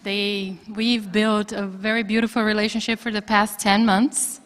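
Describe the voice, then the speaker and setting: A woman hosting a conference, introducing speakers in a relaxed manner. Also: medium pitch, normal speed. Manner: warm, relaxed emcee. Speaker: event host. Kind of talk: emcee introductions. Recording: long hall reverb.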